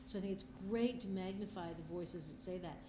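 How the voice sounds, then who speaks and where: A woman's voice: lowish voice, brisk. A middle-aged woman, a filmmaker, answering interview questions in an earnest, passionate, conversational way. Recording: roomy echo, faint background noise.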